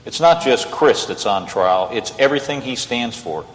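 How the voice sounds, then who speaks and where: A man's voice: medium pitch, animated, normal speed, natural voice. Man playing earnest idealist lawyer in reverberant hall. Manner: earnest, persuasive. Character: idealistic, earnest male lawyer with stubborn faith. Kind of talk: old-time radio play acting. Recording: reverberant hall, stage radio play.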